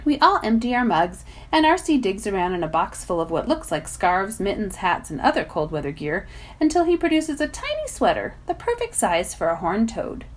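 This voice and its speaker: A woman narrating a children's novel as a volunteer reader, in an expressive storytelling style. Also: mid-range voice, normal speed.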